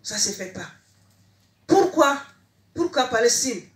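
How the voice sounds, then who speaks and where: A woman from Mali making an emphatic, halting, impromptu plea at a public meeting in an echoey room, repeating her phrases. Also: high-pitched, very animated. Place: indoor meeting hall.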